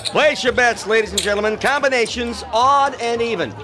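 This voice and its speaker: An actor in a radio drama playing a brisk casino croupier, calling out bets in a projected announcer's manner. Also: male voice, extremely high pitch, noisy background. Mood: brisk, projecting. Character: loud male casino croupier, brisk and businesslike. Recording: loud music and casino crowd behind.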